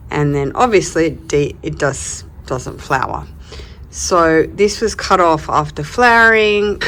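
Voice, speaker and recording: A woman's voice: low voice, slight background noise. Brief chatty Australian woman vlogger. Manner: animated, laughs often, warm and gushing. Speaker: luxury beauty vlogger. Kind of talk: casual chatty Q&A.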